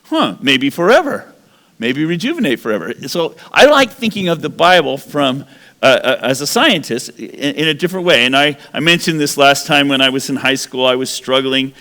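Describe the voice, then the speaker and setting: A man in his sixties giving a rambling, animated sermon-style talk as a guest speaker in a church. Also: medium pitch, moderate pace, in a large hall. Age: 60s. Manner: animated, rambling, self-correcting.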